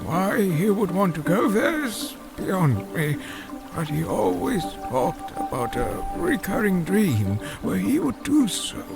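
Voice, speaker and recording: An actor playing an elderly, long-winded fish-man scholar in an audio drama, with a gravelly voice and a halting, formal storytelling manner. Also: high voice, very animated, music in the background, exaggerated voice. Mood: musing.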